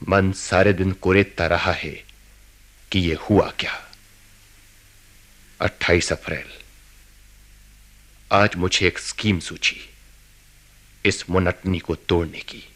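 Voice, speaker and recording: A restless, brooding husband in a radio drama. The voice is low yet bright and forceful, moving slowly and hesitantly, as if confiding private thoughts. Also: male voice, fairly even intonation, heavily acted. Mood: brooding, weary. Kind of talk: radio drama acting, introspective narration and dialogue.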